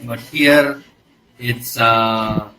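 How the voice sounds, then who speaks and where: A man's voice: mid-range voice, steady tone, drawn-out words, noisy background. A man from Nepal with a Nepali accent, giving hesitant, conversational answers as an interview guest, often adding "you know". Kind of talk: casual interview talk.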